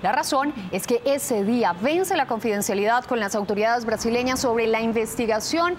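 A young adult woman with a Colombian accent presenting the news from a TV studio, measured and formal. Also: on the high side, slight background noise. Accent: Colombian Spanish. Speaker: news anchor. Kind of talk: scripted news reading.

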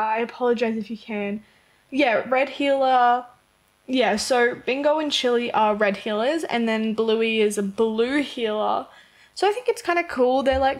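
Chatty young Australian woman, higher voice. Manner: chatty, rambling, with frequent "like" and small laughs. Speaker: Sims content creator. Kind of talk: casual chat over gameplay. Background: rain heard outside.

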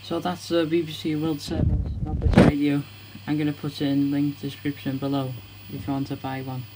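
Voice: medium pitch, completely flat delivery, normal speed. A vlogger casually demonstrating products in plain, simple informal phrasing. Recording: noisy home camera recording.

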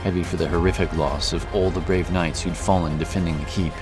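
A man with a very deep voice narrates a fantasy tale. His delivery is scripted and dramatic, set over prominent music. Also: steady tone, a little fast.

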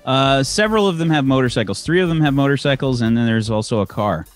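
A man's voice: mid-range voice, fast, faint music behind. A young man narrating as game master in a tabletop game, rambling with lots of fillers. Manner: rambling, many likes and fillers.

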